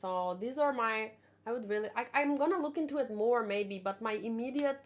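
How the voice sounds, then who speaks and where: High-voiced woman in casual streamer chat. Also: normal speed. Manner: animated, exclamatory, rambling asides. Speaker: streamer hosting a manga read-along. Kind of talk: casual live chat, thinking aloud.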